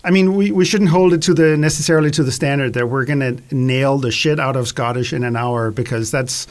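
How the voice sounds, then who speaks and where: A man's voice: medium pitch, brisk. A man hosting a casual chat, rambling and restarting his sentences a lot, apparently over a video call. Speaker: host.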